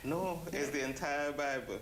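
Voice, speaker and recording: A man answering as an interview guest, halting and phrase by phrase. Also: a little high, fairly bright tone. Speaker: interview guest, event committee member. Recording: roomy echo, faint ambient noise.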